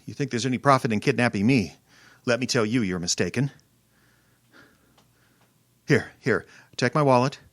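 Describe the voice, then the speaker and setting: A man's voice: mid-range voice, moderate pace, pauses often, dry recording, studio quality, natural voice. An actor playing an irascible, domineering rich older man, imperious and sputtering, who turns cowardly under threat, in old-time radio horror style. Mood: frightened, pleading. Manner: blustering, imperious, sputtering and stammering.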